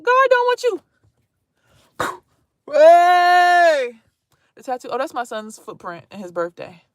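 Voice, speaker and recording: A woman's voice: very high-pitched, slow, dry recording, studio quality. Blunt chatty woman in her thirties. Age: 30s. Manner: blunt, animated, swearing freely, chatty asides to viewers. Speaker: vlogger streaming to viewers. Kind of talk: casual live-stream talk.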